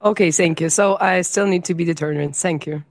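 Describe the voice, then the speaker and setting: A woman's voice: fairly low pitch, brisk, no echo, clean studio sound. A woman exchange student asking a question, hesitant with many fillers. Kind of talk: question at an info session.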